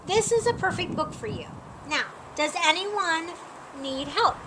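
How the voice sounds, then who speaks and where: A woman's voice: very high-pitched, animated, measured pace, noisy background. A woman voicing a friendly, helpful woman librarian in a storybook, welcoming and encouraging as she instructs schoolchildren. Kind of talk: storybook character read aloud.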